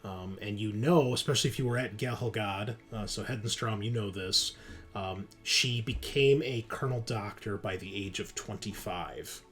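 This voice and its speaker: A man narrating a tabletop roleplaying game as game master, explaining things conversationally with pauses mid-sentence. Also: fairly low pitch, fairly bright tone. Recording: music behind the voice. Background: background music.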